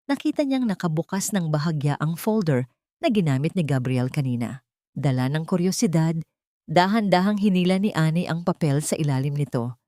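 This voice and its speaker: A woman with a fairly low voice narrates a scripted dramatic love story. The recording is close and dry, as in an audio drama. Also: darkish tone, no room sound.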